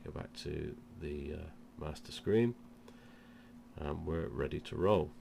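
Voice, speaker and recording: Male demo presenter, deep register, has a cold, informal step-by-step instruction, noisy recording. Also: takes it slowly, gravelly, dark tone. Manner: step-by-step explaining. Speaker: presenter of product demonstration.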